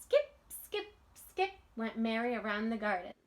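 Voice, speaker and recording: Slow, animated woman reading a storybook. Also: a little high. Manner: expressive, deliberate, pausing between phrases. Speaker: teacher reading a storybook aloud. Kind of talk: children's storybook read-aloud.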